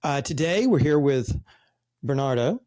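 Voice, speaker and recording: Mellow-voiced man hosting an interview. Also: mid-range voice, expressive, measured pace, no room sound. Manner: reads introduction, then chats loosely with fillers. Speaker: podcast host. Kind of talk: interview host.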